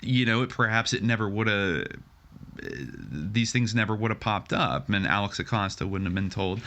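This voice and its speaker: A man's voice: lowish voice, normal speed, sharp tone. An American man hosting a podcast, talking casually and analytically in hedged, exploratory sentences. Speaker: podcast host.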